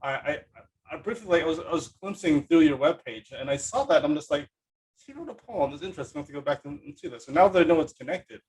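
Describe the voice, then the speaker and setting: A man's voice: fairly high pitch, lively intonation, fast, a little mellow, rough recording. A man hosting a podcast in a casual, enthusiastic and rambling conversational way. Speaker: podcast host. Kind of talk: casual chat.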